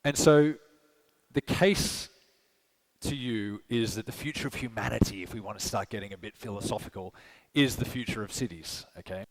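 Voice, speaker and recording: A man's voice: lowish voice, moderate pace, low-quality recording. A man with an Australian accent giving a conversational conference talk, asking the audience questions and often checking in with "okay?" and "right?". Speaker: conference speaker. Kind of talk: live keynote presentation. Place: conference stage.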